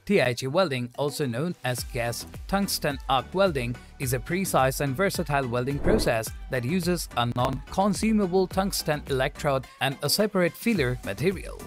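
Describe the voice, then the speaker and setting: A man narrating a scripted explainer video in an even, polished delivery without fillers. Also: medium pitch, normal speed, few pauses, soft background music. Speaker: channel narrator.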